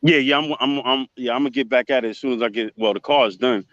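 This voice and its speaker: A man's voice: medium pitch, fast talker, a bit bright, dry recording. A man chatting casually as a livestream guest, encouraging and given to repeating his phrases. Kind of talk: casual chat. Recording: muffled, dull call audio.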